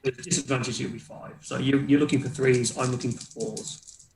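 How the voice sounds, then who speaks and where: Creaky-voiced man running a tabletop game. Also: mid-range voice, fairly even intonation, a little fast, a little mellow, echoey room, slight background noise, low-quality recording. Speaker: game master. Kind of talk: casual narration and rules talk for a tabletop role-playing game.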